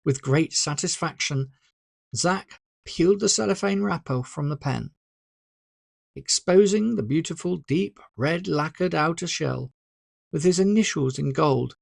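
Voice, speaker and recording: An author narrating a story in an expressive, deliberate, scripted audiobook style. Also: mid-range voice, creaky, no room sound, studio quality.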